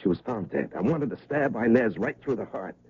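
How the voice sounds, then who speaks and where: An actor playing a tormented, guilt-ridden police officer in a 1970s radio drama, husky, halting and pleading. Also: male voice, fairly low pitch, lively intonation, a little mellow. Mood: agitated. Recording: dull vintage radio broadcast recording.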